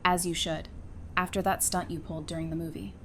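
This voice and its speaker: A woman acting a sassy, warm-hearted character in an audio drama, with casual, teasing delivery. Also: on the low side, flat delivery, brisk, a crowd in the background, lightly acted. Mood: playful, teasing. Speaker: voice actor in a fan audio drama. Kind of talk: acted audio drama dialogue. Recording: faint background ambient noise.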